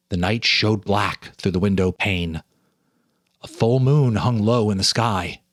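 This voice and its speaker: A man giving a dramatic reading of a ghost story, with suspenseful, deliberate phrasing and dramatic pauses. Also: on the low side, fairly bright tone, husky, no echo, clean studio sound. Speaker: storyteller, narrator and host.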